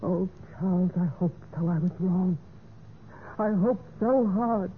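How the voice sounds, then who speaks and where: An actress playing a betrayed, wistful but resolute wife in a measured, theatrical golden-age radio mystery style. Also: female voice, fairly low pitch, natural voice. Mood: sorrowful, resolute. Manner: measured, deliberate, theatrical delivery. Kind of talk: 1940s-50s radio mystery acting. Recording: tinny, noisy old radio recording.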